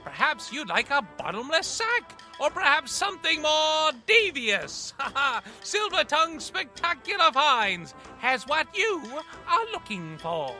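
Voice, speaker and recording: Bright, high-pitched gnome shopkeeper. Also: big pitch swings, unhurried, few pauses, soft background music, exaggerated voice. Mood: showy, inviting. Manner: theatrical salesman patter with cackling. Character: cheery gnome shopkeeper hawking magical trinkets.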